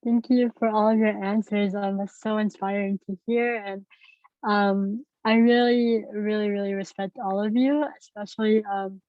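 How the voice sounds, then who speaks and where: A woman's voice: mid-range voice, fairly even intonation, normal speed, no echo. A young woman moderates a panel over a video call and talks hesitantly, filling gaps and repeating herself. Her audio sounds muffled and dry, with no room to it. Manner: hesitant, lots of ums and repeats. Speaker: student moderator.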